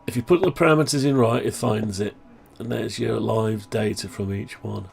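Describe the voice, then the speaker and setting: Groggy man with gravelly voice. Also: on the low side, moderate pace. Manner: rambling, thinking aloud, frequent throat clearing. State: groggy, recovering from flu. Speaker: hobbyist maker showing his own software. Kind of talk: casual screen-share project update.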